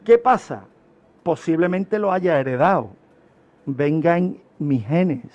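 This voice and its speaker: Slow, higher-pitched man explaining on radio. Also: expressive, a little mellow, dull audio. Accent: Spain. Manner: didactic, emphatic repetition. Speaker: guest criminologist explaining. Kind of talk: informal radio lecture.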